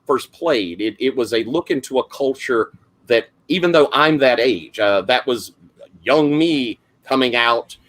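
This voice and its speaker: A middle-aged man with a heavy Southern accent and a gravelly voice, rambling through a casual introduction to the game he runs as game master, with lots of fillers and restarts. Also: mid-range voice, animated, unhurried, fairly bright tone. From South Carolina, US.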